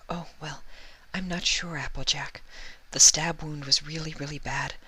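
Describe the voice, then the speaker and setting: A man voicing a shy, gentle young female pegasus nurse from a cartoon fan story, soft and breathy with hesitant, timid speech. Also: a little high, steady tone, normal speed, pitched above the performer's own voice, heavily acted. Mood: gentle concern. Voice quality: soft, breathy, half-whispered.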